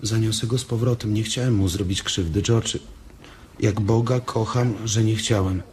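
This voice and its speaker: An actor playing a big, simple-minded farm worker in a radio drama, speaking in a childlike way. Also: male voice, fairly low pitch, steady tone, normal speed, a little mellow, slight background noise, close to an everyday voice. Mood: pleading.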